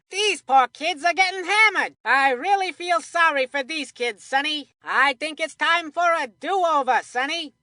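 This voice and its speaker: An actor voicing an excitable, folksy cartoon commentator calling kids' baseball with corny, punchy one-liners. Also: high-pitched, no room sound, studio quality. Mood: wry, dismayed. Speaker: sports commentator.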